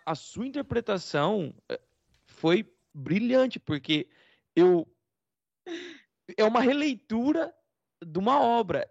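A man's voice: sits high, gravelly, no room sound. A man with a Brazilian Portuguese accent, co-hosting an interview and explaining things at length in a casual, praising way. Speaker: co-host. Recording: dry, dull lo-fi sound.

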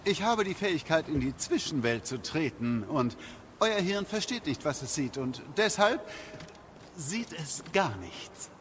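A squeamish, fussy dwarf from a game audio drama, acted by a man. He talks haltingly and excitably with very animated pitch, and there is clear noise behind him. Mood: explaining, boastful.

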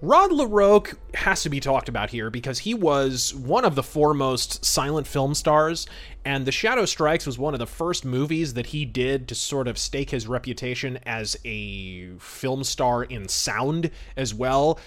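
A man's voice: mid-range voice, animated, unhurried. A man hosting a podcast, giving conversational film commentary in a chatty, discursive way with frequent asides. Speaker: podcast host.

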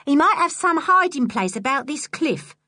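Shrill bossy scheming woman for a storybook, loud and sharp, swoops around, read by a woman. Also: very animated, normal speed, no echo, clean studio sound, exaggerated voice. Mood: insistent.

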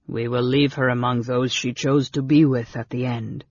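A man voicing a gentle, grave male companion in a storybook, speaking softly in a calm, consoling way. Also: gravelly, slightly rough. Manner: soft, low, measured. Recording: dull lo-fi recording, very dry.

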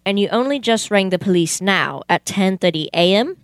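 A woman's voice: medium pitch, animated, moderate pace, no echo, studio quality, natural voice. Young woman as a formal, methodical police detective. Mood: formal, incredulous. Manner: formal, questioning. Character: polite, methodical, puzzled male police detective. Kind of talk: amateur old-time radio theater acting.

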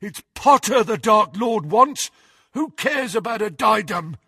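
A man voicing a huge, dim, thuggish teenage boy bully with rough grammar, in a storybook reading. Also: on the low side, lively intonation, takes it slowly, no room sound, clean studio sound, long reverb tail, heavily acted. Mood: defiant, ferocious. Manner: thuggish, uneducated grammar, belligerent.

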